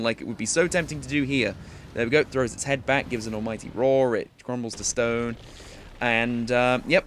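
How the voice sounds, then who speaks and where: A man's voice: medium pitch, a bit bright, slight background noise. A young man hosting a video game walkthrough, talking casually and chattily with plenty of filler words. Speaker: gaming tutorial host.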